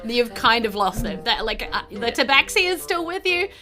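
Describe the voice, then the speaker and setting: A woman's voice: on the high side, big pitch swings, moderate pace. A woman, narrating a tabletop game as game master in a casual, chatty, giggly way. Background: faint background music.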